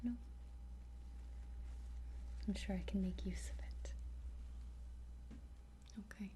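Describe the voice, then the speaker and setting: Slow, whispering woman in soft ASMR. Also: medium pitch, fairly even intonation. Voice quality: breathy whisper. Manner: gentle, hesitant, with frequent pauses. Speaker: ASMR roleplay host playing a dragon trainer.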